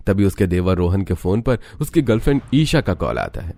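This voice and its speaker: Low-pitched male story narrator. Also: big pitch swings, a little fast, dark tone. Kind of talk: scripted cartoon story narration.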